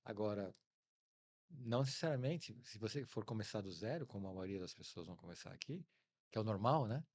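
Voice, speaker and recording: A man's voice: fairly low pitch, expressive, fairly quick, darkish tone. A middle-aged man with a Brazilian Portuguese accent, talking casually as an interview guest and acting out bits of dialogue as he tells his stories. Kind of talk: casual anecdotal interview talk.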